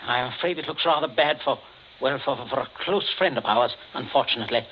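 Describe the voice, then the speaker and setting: An actor playing a genteel English patriarch, imperious and evasive, with clipped, polite, faltering speech in 1940s radio suspense style. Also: male voice, medium pitch, fast talker, natural voice. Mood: anxiously polite. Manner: polite, clipped, stammering under pressure. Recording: muffled, noisy old radio drama recording.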